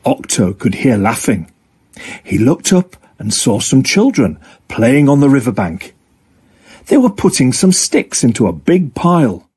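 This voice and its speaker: Male children's storybook reader: expressive, deliberate, very varied pitch, a touch of husk. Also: slightly rough. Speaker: storybook narrator. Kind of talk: scripted children's story narration.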